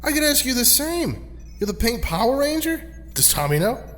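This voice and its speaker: A man's voice: extremely high pitch, sing-song, moderate pace, a bit bright, heavily acted. A man playing a bewildered young hero who questions with agitation in an audio drama, recorded with long reverb. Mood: surprised. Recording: long reverberant hall sound.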